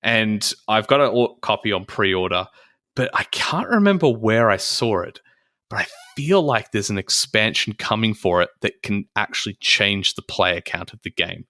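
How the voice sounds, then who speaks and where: A man's voice: on the low side, expressive, moderate pace, bright, forceful tone, dry recording, clean studio sound. A man co-hosting a casual board game podcast, enthusiastic about the games he recommends. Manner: enthusiastic, upbeat. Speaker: podcast co-host. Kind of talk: casual chat.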